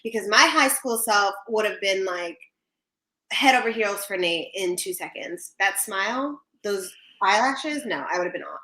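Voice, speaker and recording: Young woman, bright sharp voice, roomy echo, casual chatty livestream co-host. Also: mid-range voice, expressive, moderate pace. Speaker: co-host of a reality TV recap show. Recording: echoey room.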